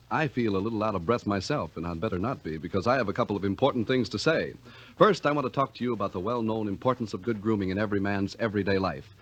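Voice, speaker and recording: A man with an American accent announcing on 1950s radio in a polished, scripted sales delivery. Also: fairly low pitch. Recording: vintage radio recording.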